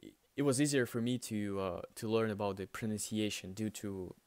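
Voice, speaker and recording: A man's voice: fairly low pitch, fairly quick, darkish tone, dry recording. A young man, a native Russian speaker with a light Russian accent, answering viewer questions in a casual, hesitant way with many fillers and restarts. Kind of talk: casual spoken Q&A.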